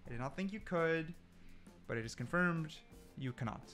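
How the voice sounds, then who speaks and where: A man gives excited gameplay commentary with a very lively, swinging pitch. He thinks out loud in exclamations and repeats himself as he goes, with faint music behind him. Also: moderate pace. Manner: repetitive, exclamatory, thinking aloud. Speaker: gaming content creator testing game mechanics.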